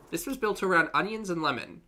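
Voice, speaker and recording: A bright, forceful voice with lively swings in pitch gives casual reaction commentary. The delivery is unscripted, incredulous and amused, with swearing dropped in freely. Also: moderate pace.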